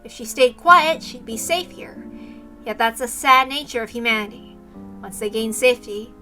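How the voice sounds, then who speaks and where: A woman reads a visual novel aloud as a streamer, narrating the story expressively. Her voice is fairly high and bright, and faint music plays behind it.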